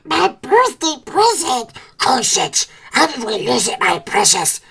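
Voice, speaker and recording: A woman's voice: high voice, big pitch swings, slow, fairly bright tone, pitched above the performer's own voice, exaggerated voice. A woman doing Gollum, a hissing wretched cave creature. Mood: frantic. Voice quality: hissing, breathy whisper. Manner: talks to himself, repeats words, swings between whimper and shriek. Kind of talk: audiobook character voice.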